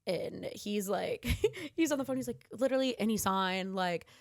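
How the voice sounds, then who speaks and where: A woman's voice: mid-range voice, animated, normal speed, no echo. Casual, emphatic woman co-host, close dry sound. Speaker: podcast co-host. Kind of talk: casual chat reviewing films and books.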